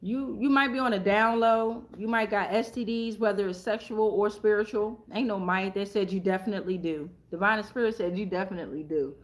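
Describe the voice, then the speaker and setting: A woman's voice: mid-range voice, a little fast, hardly any pauses. A woman hosting an improvised tarot reading, talking casually in a blunt, slangy, profane way and repeating phrases for emphasis.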